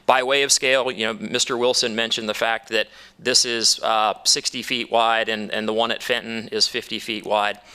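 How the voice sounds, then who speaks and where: A man's voice: on the low side, flat delivery, moderate pace, sharp tone, clear, resonant tone. A man speaking as an attorney for a developer at a town council hearing, upbeat and persuasive, with some fillers and restarts. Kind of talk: formal presentation.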